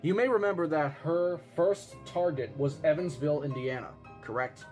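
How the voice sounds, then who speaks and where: A man playing an earnest eyewitness who tells a grave disaster theory in an insistent, suspenseful way with dramatic pauses. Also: fairly high pitch, a little mellow, close to an everyday voice. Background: music behind the voice.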